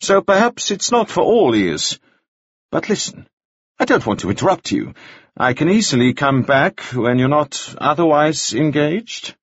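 A man voicing a cheerful, breezy and courteous gentleman who talks in a genial, chatty way. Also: a little high, sing-song, unhurried, no echo, muffled recording, voice pushed up. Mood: polite.

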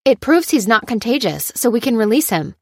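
An anguished young woman researcher in an audiobook drama, acted by a female narrator. She speaks urgently and insistently, lifted in pitch and closely recorded in a dry space. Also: sing-song, a little fast, a little mellow, no echo. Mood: tense, earnest.

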